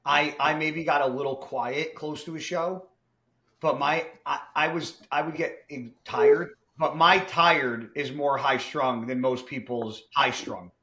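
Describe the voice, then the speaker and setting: A man in his forties hosting a casual podcast chat, blunt and animated, swearing freely. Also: a little high, unhurried, echoey room. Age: 40s. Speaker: podcast host.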